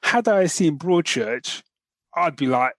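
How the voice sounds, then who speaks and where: A man's voice: fairly high pitch, animated, slow pace, no room sound. Casual male podcast co-host, dry close sound. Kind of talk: casual chat about geek news.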